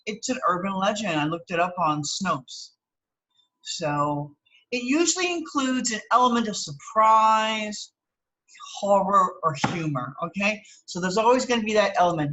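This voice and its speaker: An American woman speaks as a teacher leading a read-aloud lesson, in a medium-pitched voice. She moves between reading and explaining in an instructional way, often pausing to check in with a short "okay?". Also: expressive, bright, forceful tone, rough recording.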